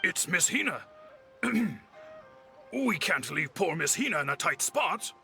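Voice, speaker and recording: Elderly grandfather, gullible, earnest, creaky, quavering, piercingly bright, dramatic video game voice. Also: high voice, big pitch swings, takes it slowly, faint music behind, full character voice. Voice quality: creaky, strained.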